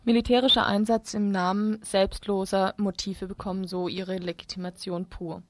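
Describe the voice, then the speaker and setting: A woman's voice: mid-range voice, no echo. A woman presenting a radio feature, reading formal scripted narration.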